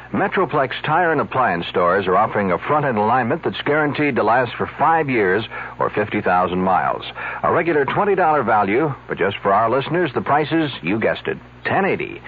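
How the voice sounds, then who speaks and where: Male radio announcer reading an ad; husky, lively, tinny old-radio sound. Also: medium pitch. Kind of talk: read commercial announcement.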